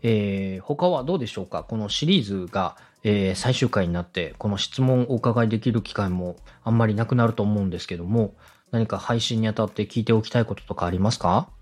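A man's voice: lowish voice, brisk, a little mellow. A man hosting a podcast as a coach, speaking in a polite, encouraging manner. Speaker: podcast host and radio director. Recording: music playing behind the voice. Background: background music.

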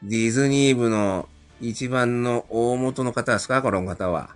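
A man's voice: medium pitch, moderate pace. A man chatting casually as a guest, polite with folksy turns of phrase, with music behind him. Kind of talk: casual chat.

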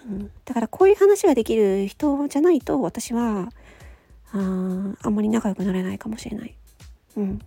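A woman's voice: mid-range voice, fairly quick, vocal fry, mellow, breathy edge, faint music behind. A woman host chatting casually on her own, with a questioning, analytical way of talking. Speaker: host of a solo audio show, amateur voice actor. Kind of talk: casual solo chat.

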